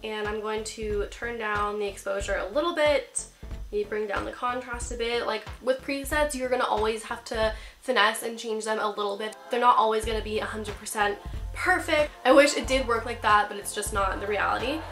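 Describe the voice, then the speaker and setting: A woman's voice: fairly high pitch, normal speed, fairly bright tone, faint music behind. A young woman in her twenties, getting over a cold, chatting upbeat with lots of "like" as she vlogs from her apartment bedroom. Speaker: lifestyle vlogger. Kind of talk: casual chatty tutorial. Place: bedroom in a small apartment, seated on bed.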